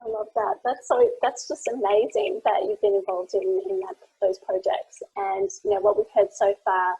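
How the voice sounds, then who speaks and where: Gravelly, husky-voiced woman moderator. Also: mid-range voice, big pitch swings, moderate pace, mellow, no room sound, dull audio. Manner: enthusiastic, praising, many fillers. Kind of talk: webinar panel moderation.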